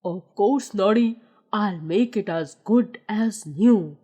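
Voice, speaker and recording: A woman voicing a kindly, capable male mechanic, talking in a deep, slow and measured way. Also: mellow, husky, muffled recording. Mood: calm.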